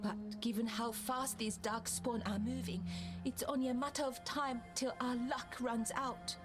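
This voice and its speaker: Actress as serious female scout leader, fairly high voice, measured, fantasy game cutscene, music behind. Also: steady tone, lightly acted. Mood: somber. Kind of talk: video game cutscene acting.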